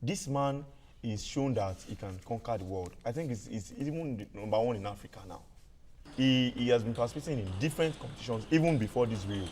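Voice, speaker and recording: A Nigerian man argues hard on a televised sports panel, talking fast and cutting in repeatedly. His voice is mid-pitched, with a faint hum of noise in the background. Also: expressive, a little mellow. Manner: insistent, interrupting, stammers on restarts. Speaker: debate guest. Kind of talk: heated studio debate. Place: TV studio.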